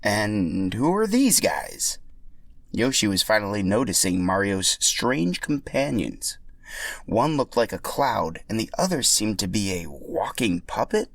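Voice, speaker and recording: A man's voice: lowish voice, sing-song, unhurried, no echo. A man narrating a scripted story as a podcast storyteller.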